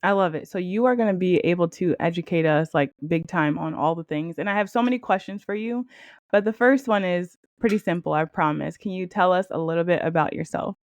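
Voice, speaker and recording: Upbeat lady podcast host, kinda low voice, dry close sound, chatty. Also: brisk, dry recording, muffled recording. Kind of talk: podcast interview.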